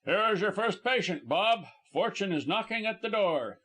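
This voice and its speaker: A man voicing a brisk, suspicious gentleman with an imperious, forceful way, in an audiobook story. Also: high-pitched, animated, voice pushed up. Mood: excited.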